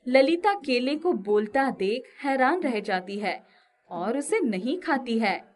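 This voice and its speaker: A woman storyteller with a fairly high voice reads at a slow pace. She delivers scripted children's narration, setting out each event in turn. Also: lively intonation.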